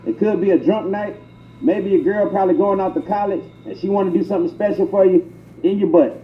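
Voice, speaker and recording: Man, high voice, profane slangy mock tutorial, echoey, background noise. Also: brisk. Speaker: prank tutorial narrator. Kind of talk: crude comedic mock tutorial monologue. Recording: echoey, muffled, noisy intercom playback. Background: public transit ambience.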